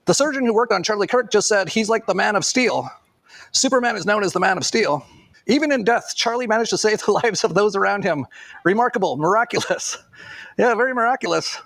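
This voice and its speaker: A man with a noticeably high voice talks in a loose, casual commentary style. His delivery is gravelly with vocal fry and rambles along sardonically. The sound is very dry. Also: lively intonation, brisk, fairly bright tone, dry recording. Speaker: online commentator.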